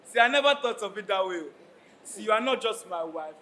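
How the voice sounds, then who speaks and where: A man's voice: sits high, lively intonation, brisk, pushed and bright. A man with a Nigerian accent acting a proud, troubled Yoruba king in a declamatory stage style. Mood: gentle, uneasy. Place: stage of a live play. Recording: noisy live stage recording.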